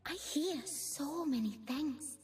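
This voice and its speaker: A woman voicing a shy, clumsy, gentle young fawn creature of dreams in a video game, timid, awkward and dreamy. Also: high-pitched, slow pace, faint music behind. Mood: dreamy, musing. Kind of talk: video game character voice lines.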